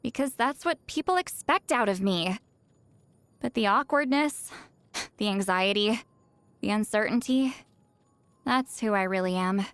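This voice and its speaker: A woman voicing a video game seer, youthful-sounding, proud but easily flustered and secretly awkward, in an expressive, haughty and defensive manner. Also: mid-range voice, measured pace, long pauses, clean tone, dry recording. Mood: earnest, reserved. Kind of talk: video game character voice lines.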